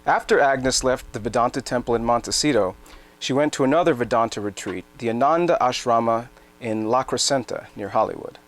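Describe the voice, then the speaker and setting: A man narrating a scripted dramatic reading in a measured storytelling delivery. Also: medium pitch.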